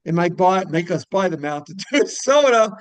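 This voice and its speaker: A man hosting a casual interview, rambling through halting questions that double back on themselves. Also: high-pitched, animated, no echo. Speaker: interviewer and show host.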